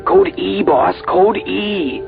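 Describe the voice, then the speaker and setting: A woman's voice: medium pitch, takes it slowly, gravelly. A woman playing a strained government secretary, speaking in clipped, urgent phrases over a call. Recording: lo-fi radio-like call with music behind.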